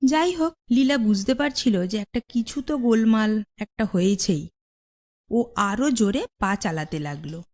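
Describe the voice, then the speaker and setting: A woman's voice: medium pitch, expressive, measured pace, darkish tone. A woman storyteller giving scripted fairy-tale narration for children.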